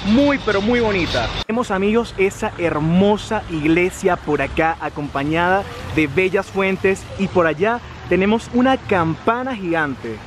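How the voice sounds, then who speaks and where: A man's voice: sits high, measured pace. A young adult man from Venezuela with a Venezuelan accent, narrating emphatically and casually as a travel vlogger. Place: outdoor town plaza. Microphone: camera at arm's length. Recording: noisy outdoor street vlog.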